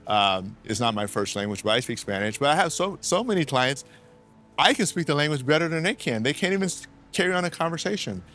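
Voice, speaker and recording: A man's voice: on the high side, expressive, brisk, bright, forceful tone, soft background music. A man working as an immigration attorney, answering interview questions in an earnest, explanatory way and repeating key phrases for emphasis, recorded at a law office.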